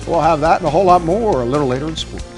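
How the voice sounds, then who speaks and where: A man's voice: on the high side, rapid-fire, music in the background. An American man reading a scripted local television newscast as the anchor. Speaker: TV news anchor. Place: TV news studio.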